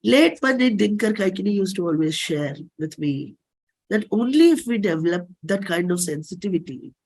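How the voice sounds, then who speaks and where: A middle-aged performer with an Indian accent, giving a dramatic autobiographical reading in measured, deliberate phrases. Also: medium pitch, expressive, a little mellow. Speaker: singer and performer.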